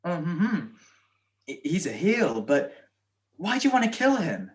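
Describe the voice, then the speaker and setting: A man's voice: high voice, wildly swooping pitch, slow, heavily acted. Guy acting tired wisecracking detective, old radio comedy, lightish voice with lots of swing. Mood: wry. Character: wry, weary, sleep-loving gentleman detective.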